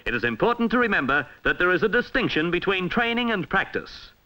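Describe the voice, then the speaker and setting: Bright, lively Australian male film narrator. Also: sits high, poor audio. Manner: formal, measured, emphatic delivery. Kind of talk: 1960s instructional film narration, scripted. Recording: vintage 1960s film soundtrack.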